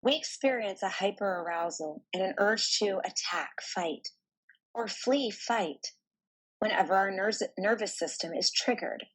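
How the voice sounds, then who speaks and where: Slow, breathy woman guiding meditation. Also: mid-range voice, low-quality recording. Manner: slow, deliberate, soothing delivery with pauses. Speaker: meditation guide. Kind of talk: guided meditation narration.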